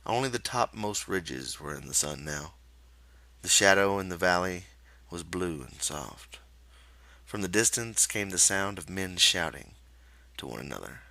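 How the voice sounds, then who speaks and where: A man's voice: low voice, expressive, unhurried, creaky, pushed and bright, dry recording. A man narrating a literary story from a script as an audiobook reader.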